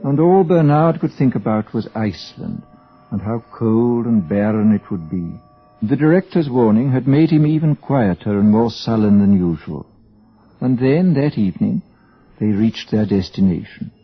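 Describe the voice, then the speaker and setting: A man's voice: fairly low pitch, lively intonation, moderate pace, slightly rough, soft background music. A man giving scripted narration for a radio drama. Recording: dull, muffled old radio recording.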